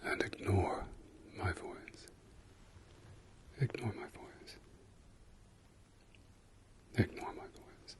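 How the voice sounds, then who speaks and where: Slow whispering man, soothing ASMR. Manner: slow soft whisper, repetitive soothing praise. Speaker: ASMR creator. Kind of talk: ASMR eye-exam roleplay.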